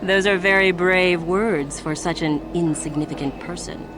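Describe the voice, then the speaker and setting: An actress playing a smug, menacing Sith gang leader in a video game, mock-polite, condescending and deliberate. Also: female voice, on the low side, background noise, close to an everyday voice. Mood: mocking menace.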